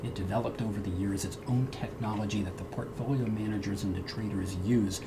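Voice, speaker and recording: A man's voice: fairly low pitch, steady tone, fairly quick, background noise. A middle-aged man, a guest in an interview, who grew up speaking Portuguese at home, talking earnestly and restarting his words. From United States. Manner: earnest, repeats words when starting. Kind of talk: interview conversation.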